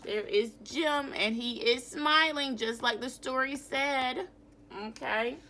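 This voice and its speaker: A woman teacher giving a children's read-aloud lesson in an expressive, instructive way. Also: sits high, slow. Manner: expressive, instructive, asks questions of pupils.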